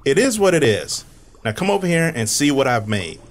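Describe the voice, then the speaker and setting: A man's voice: medium pitch, very animated, a little fast. A man playing a nerdy, casually confident genius inventor in a comedic radio drama, speaking matter-of-factly. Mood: proud explaining.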